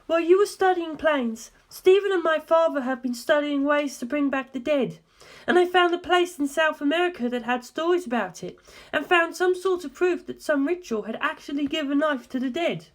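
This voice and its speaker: A woman voicing an intent, earnest young woman who explains dark legends deliberately and emphatically in an audiobook. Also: sits high, expressive, voice pushed up. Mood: earnest, probing.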